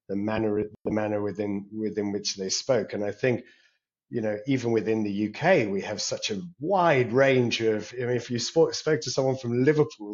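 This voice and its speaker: English man, lowish voice, hesitant and warm in manner, many restarts, dull lo-fi call audio. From England. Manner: thoughtful, many fillers and restarts. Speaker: podcast guest, career coach. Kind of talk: conversational interview.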